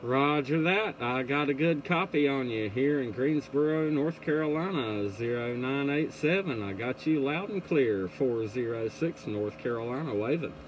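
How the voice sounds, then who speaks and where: A man's voice: mid-range voice, lively intonation, moderate pace, hardly any pauses. A man operating a CB radio, calling out and answering contacts in short radio phrases. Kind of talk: CB radio calls. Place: indoor radio room. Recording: noisy room with radio static.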